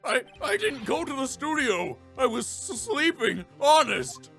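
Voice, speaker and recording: A man's voice: extremely high pitch, sing-song, a little slow, gravelly, a bit bright, faint music behind, voice pushed up, heavily acted. A man voicing a timid, panicky defendant in a game, stammering and pleading. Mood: panicked, defensive. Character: timid, panicky accused male actor.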